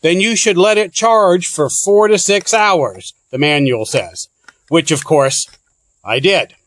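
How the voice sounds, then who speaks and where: A man's voice: high-pitched, slow pace, fairly bright tone. A man giving a plainspoken, informal walkthrough as a product reviewer.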